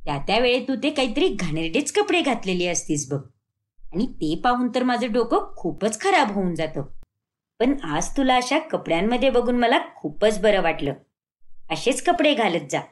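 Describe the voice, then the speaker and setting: A woman's voice: on the high side, sing-song, moderate pace. A woman voicing a plain-spoken, supportive old village grandmother in a cartoon story, frank and motherly. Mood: approving.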